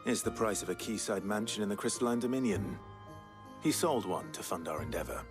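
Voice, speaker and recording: Man acting young nobleman, deep voice, measured, dry-witted, video game cutscene, clear background music. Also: animated, close to an everyday voice. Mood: measured explaining.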